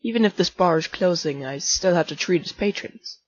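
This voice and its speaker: A woman voicing a formal, gentle adult male fire-monster bartender in a fan comic dub, speaking politely without contractions. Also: fairly low pitch, animated, normal speed, no room sound, muffled recording. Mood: kind.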